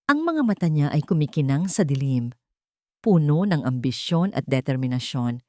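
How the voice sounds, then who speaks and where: A woman's voice: low-pitched, dark tone, no echo, clean studio sound. A woman reading a scripted romantic drama as an audiobook narrator.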